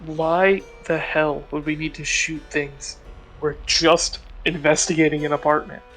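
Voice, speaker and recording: A man's voice: on the high side, level tone, a little slow, fairly bright tone, rough recording. A man playing a tabletop roleplaying game, chatting casually in character. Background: background music.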